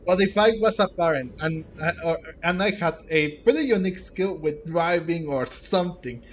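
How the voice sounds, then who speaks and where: High-pitched, halting male gaming streamer. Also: expressive, lo-fi sound, slight background noise. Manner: halting, repeats words as he searches for phrasing. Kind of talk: casual let's play commentary.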